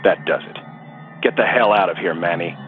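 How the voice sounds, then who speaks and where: A very low-voiced, guarded older brother played by a male actor talks curtly and dismissively in an audio soap opera. The recording is narrow and phone-like, with faint music. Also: normal speed, lightly acted. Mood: angry. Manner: curt, dismissive. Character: stern, guarded wealthy older brother, easily riled.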